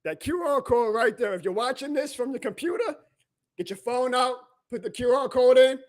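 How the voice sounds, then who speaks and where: A man hosting a live sports reaction stream, excitable and emphatic, his voice going hoarse. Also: extremely high pitch, normal speed, no echo, studio quality. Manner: excitable, emphatic, plugs merch, reads chat aloud. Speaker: sports fan livestream host.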